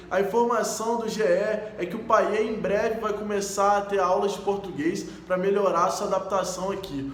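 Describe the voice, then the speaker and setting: A man's voice: sits high, a little hiss or hum. A man with a Brazilian accent hosting a fan channel, giving a casual sports news roundup as an eager fan who calls the club "ours.". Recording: roomy echo.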